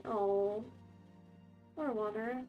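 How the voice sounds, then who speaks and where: A woman's voice: medium pitch, fairly even intonation, takes it slowly, narrow, tinny audio. A woman streamer giving casual, brief and sympathetic reactions during a game playthrough. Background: game music.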